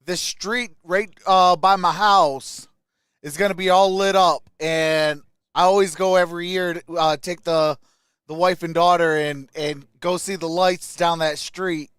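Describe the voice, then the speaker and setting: A man's voice: sits high, fairly even intonation, measured pace, a bit bright, smooth, no room sound, studio quality. A man hosting a sports livestream, calling plays casually and chatting with viewers. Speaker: sports livestream host reacting to a game. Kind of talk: casual live play-by-play and chat with viewers.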